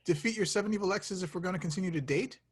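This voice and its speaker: A man's voice: sits high, rapid-fire, husky. A man playing an awkward, sheepish young slacker in a comedy table read, talking in a casual, hesitant way. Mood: awkward, puzzled.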